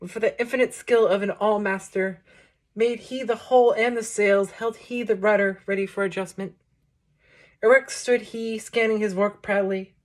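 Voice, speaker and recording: Woman reciting poetry in measured phrases. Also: mid-range voice. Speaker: poetry reader introducing a book. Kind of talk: recited poetry reading with short spoken introduction.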